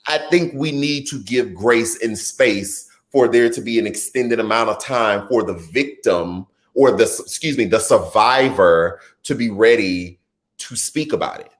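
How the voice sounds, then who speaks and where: A man in his thirties hosting a live political commentary stream, chatty and animated, reading viewer comments and riffing between stories. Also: fairly low pitch, measured pace. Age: 30s. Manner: animated and conversational, drifts into chatty asides and laughs easily. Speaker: political talk show host.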